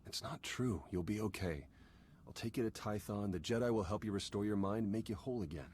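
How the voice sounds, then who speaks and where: Man playing a deep-voiced wry game agent. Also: brisk, a little mellow, close to an everyday voice. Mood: concerned. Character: protective, wry male secret agent in his thirties. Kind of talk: video game cutscene acting. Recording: game audio with noisy ambience behind.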